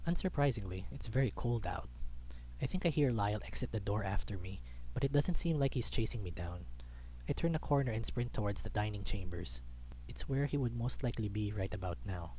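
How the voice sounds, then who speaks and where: A man's voice: lowish voice, dark tone, slight background noise, rough recording. A man reading a visual novel aloud as dramatic scripted narration. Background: background music.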